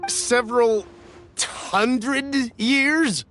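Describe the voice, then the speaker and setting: A man's voice: very high voice, drawn-out words, fairly bright tone, soft background music, full character voice. A man playing an anxious, cautious merchant in a video game, earnest, polite and easily flustered. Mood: incredulous. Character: anxious, cautious, apologetic male merchant buying antiques.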